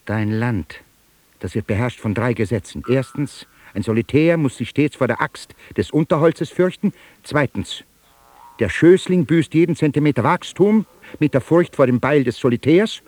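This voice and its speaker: An actor playing a boastful, taunting djinn in a literary radio drama, declaiming theatrically in a husky voice. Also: male voice, medium pitch, normal speed, a little mellow, close to an everyday voice. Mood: mocking, boastful. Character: boastful, taunting djinn, a conjured airy spirit.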